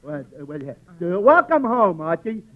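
Dopey, high-pitched, stammering fellow. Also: male voice, sing-song. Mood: flustered. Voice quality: dopey, slow-witted delivery. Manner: halting, stammering, losing the thread. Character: dim-witted, forgetful, well-meaning male tavern regular. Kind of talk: old-time radio comedy acting. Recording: muffled vintage radio recording.

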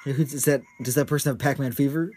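A man's voice: medium pitch, fairly even intonation, fast talker, darkish tone. A man co-hosting a casual podcast, teasing and sarcastic, with some swearing. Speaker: podcast co-host.

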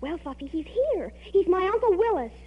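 An actress playing an excitable, scheming young American teenage girl in a 1940s radio comedy, with an eager, dramatic manner. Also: very high voice, normal speed. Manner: eager, dramatic, breathless storytelling. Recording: dull old radio recording.

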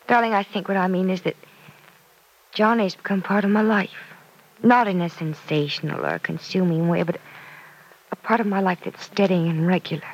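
An actress playing an earnest, self-doubting young woman in an old-time radio serial, confiding in a hesitant, soft-hearted way. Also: lowish voice, steady tone, moderate pace, dull audio. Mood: confused, confiding. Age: young adult.

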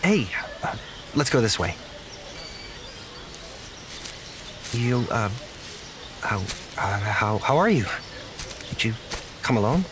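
An actor playing a naive, apologetic young zookeeper in an audio drama, speaking earnestly with halting stammers. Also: male voice, mid-range voice, big pitch swings, background noise. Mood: nervous, awkward. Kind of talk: naturalistic audio drama acting.

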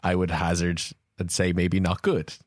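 A man's voice: deep voice, monotone, normal speed, dry recording. A man hosting a casual podcast, with understated, dry humor. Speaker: podcast host.

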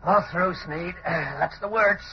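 An actor playing a rough, nervous smuggler's henchman with slangy tough talk, in old radio drama style through heavy noise. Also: high voice, measured pace. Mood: hurried. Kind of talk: 1940s radio acting. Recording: muffled, very noisy old radio recording.

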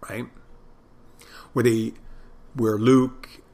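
Male podcast host, Philadelphia accent, medium pitch, loose off-the-cuff delivery, self-deprecating and prone to unfinished thoughts. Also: flat delivery, very slow, warm tone. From Philadelphia, United States. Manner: meandering, self-deprecating, trails off mid-thought. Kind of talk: casual rambling solo podcast talk.

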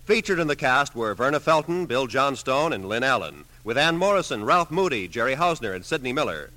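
A man's voice: mid-range voice, very animated, fairly quick, pushed and bright. An American man giving formal, polished scripted announcements as an old-time network radio announcer.

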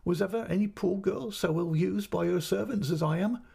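A man's voice: fairly high pitch, brisk, dark tone, pitched above the performer's own voice, natural voice. A man voicing a petulant, self-pitying young mother in a storybook reading, with plaintive, dramatic protests. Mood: tearful indignation.

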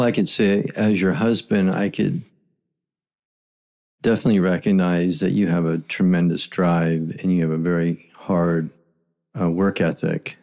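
Deep voiced guy interviewing his wife, casual, a bit teasing. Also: gravelly. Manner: gently teasing, prompting. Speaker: interviewer and husband.

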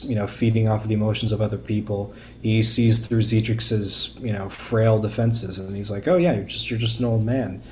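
A man with a fairly low voice talks as a podcast guest, enthusiastic and informal. He often doubles words at the start of a thought, and there is faint noise behind him. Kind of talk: casual enthusiastic discussion.

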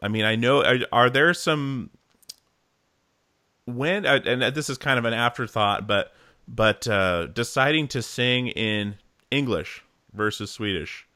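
A man's voice: medium pitch, unhurried, bright, forceful tone, dry recording, studio quality. A man hosting a podcast, asking long rambling questions with many hesitations in casual chat. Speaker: podcast host.

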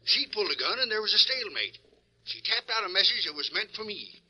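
A man's voice: sits high, big pitch swings, quick pace, pushed and bright, exaggerated voice, low-quality recording. An actor playing an earnest, rattled telegraph operator telling his story in an old radio western. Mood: earnest, agitated.

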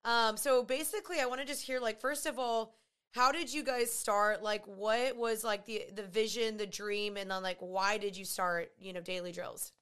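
A woman with a raspy voice hosting a podcast in chatty, gushing talk full of fillers. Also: mid-range voice, fairly even intonation, normal speed, a bit bright. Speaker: podcast host. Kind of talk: casual podcast chat.

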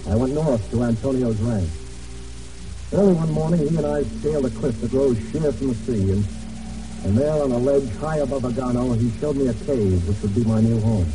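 An actor in an old radio drama playing a hunted American Navy man in hiding, weary but determined, speaking in a halting, earnest way. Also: medium pitch, close to an everyday voice. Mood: grim resolve. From United States. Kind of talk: old radio drama acting, first-person narration and dialogue. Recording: old radio broadcast, distant, music behind.

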